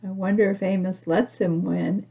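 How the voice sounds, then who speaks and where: A woman reads a picture book aloud to young children, pausing to explain things simply and ask the listener questions. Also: medium pitch, level tone, creaky, husky, muffled recording. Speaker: storybook reader for young children.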